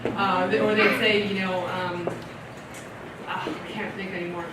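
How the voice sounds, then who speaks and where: A woman from Canada giving a casual question-and-answer talk to a library audience. She is chatty and animated, laughs often and acts out her own reactions. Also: medium pitch, a little slow. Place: library meeting room with audience. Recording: echoey hall audio from far off with loud room noise.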